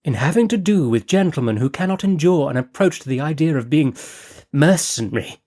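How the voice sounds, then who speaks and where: A man's voice: fairly high pitch, lively intonation, brisk, a little mellow, voice pushed up. A man reading an audiobook voices a gushing, flighty aristocratic lady, a scheming mother, with a theatrical manner.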